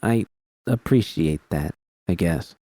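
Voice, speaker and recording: A man's voice: low voice, animated, slow pace, warm tone, no room sound, studio quality. A man voicing a jaded, guarded woman in an audiobook, defensive and weary. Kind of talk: audiobook character dialogue.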